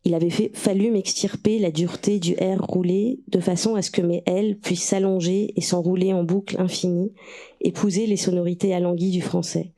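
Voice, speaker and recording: A woman author from Russia, whose first language is Russian, reads her own prose in a measured way and then answers questions hesitantly, searching for words. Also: on the low side, steady tone, warm tone.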